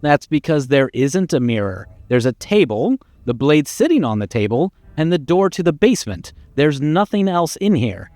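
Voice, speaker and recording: A man's voice: on the high side, sing-song, normal speed, hardly any pauses, darkish tone, dry recording. A man playing a condescending, exasperated narrator in a video game, articulate and dryly sardonic. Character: exasperated, pompous male narrator voice guiding the hero.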